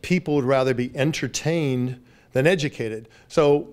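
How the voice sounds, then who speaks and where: A man's voice: mid-range voice, normal speed, a little mellow, clear, resonant tone. A man, a business speaker answering in an interview. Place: hotel meeting room. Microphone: handheld interview mic.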